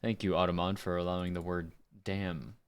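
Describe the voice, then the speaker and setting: A man's voice: low voice, flat delivery, moderate pace, clean tone. A man chatting casually on a livestream, muttering and thinking aloud as he works on audio. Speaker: livestreamer and amateur voice actor. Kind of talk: casual livestream chat while fiddling with audio software.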